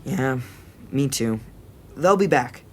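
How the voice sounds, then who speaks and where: A man's voice: mid-range voice, lively intonation, takes it slowly. A male performer playing a good-natured, nerdy young brother with a casual, boyish manner in an audio drama.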